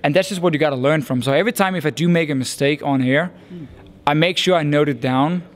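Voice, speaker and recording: A man's voice: a little high, quick pace. A young man with a Danish accent, an esports caster answering interview questions in an earnest, thoughtful way. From Denmark. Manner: earnest, thoughtful, with frequent restarts and fillers.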